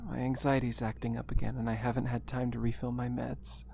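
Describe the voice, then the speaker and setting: A man's voice: medium pitch, little pitch movement, fairly quick, vocal fry, a little mellow, lightly acted. A man playing an anxious, sleepless young soldier in an audio drama, hesitant, stammering and apologetic. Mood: weary, apologetic. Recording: slight background noise.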